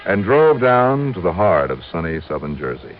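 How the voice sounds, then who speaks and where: 1950s radio investigator: dogged, wry American, lively inflection, music behind, dull vintage sound. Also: male voice, deep voice, normal speed. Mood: wry, brisk. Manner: clipped, punchy, wry delivery. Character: wry, dogged male freelance insurance investigator. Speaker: lead actor and narrator. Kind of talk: 1950s radio detective drama acting, first-person narration.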